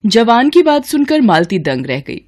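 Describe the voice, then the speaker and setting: A woman narrating a scripted story as a storyteller, in an expressive, dramatic manner. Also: a little high, normal speed.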